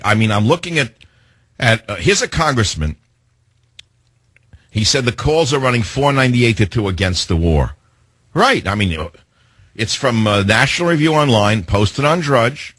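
A man hosting a talk radio show, delivering a sarcastic, indignant monologue with theatrical swings in pitch. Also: a bit bright, slightly rough, dry recording.

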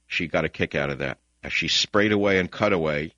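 An older man with a Bronx New York accent hosting a talk radio show, ranting combatively and swinging between muttering and shouting into a studio mic. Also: deep voice, brisk, no echo. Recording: dull, lo-fi, dry broadcast audio.

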